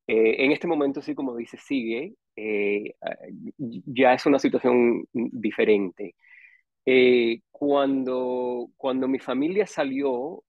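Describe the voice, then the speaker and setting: Man, 40s, Cuban accent. Casual interview storyteller who pauses to find words. Muffled narrow-band, dry sound. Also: medium pitch, gravelly, dry recording. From Cuba, raised partly in Costa Rica and Miami. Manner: hesitant, searching for words with long pauses. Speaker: interview guest. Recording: dull, band-limited dry audio.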